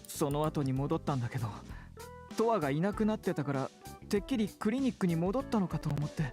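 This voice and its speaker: A woman's voice: low voice, animated, rapid-fire, warm tone, faint music behind. A woman playing an effeminate, apologetic young man in a visual novel, emotional and fretful. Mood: guilty, apologetic. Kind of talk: Japanese visual novel voice acting.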